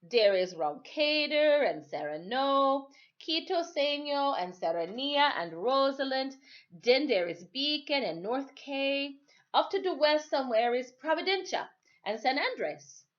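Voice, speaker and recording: A woman's voice: high-pitched, lively intonation, a little slow, muffled recording, pitched above the performer's own voice. A woman voicing a hearty, kindly older sailor from a storybook, speaking emphatic dialect with a lilt. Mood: grave, explaining. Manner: emphatic, exclamatory dialect speech. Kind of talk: storybook character read aloud.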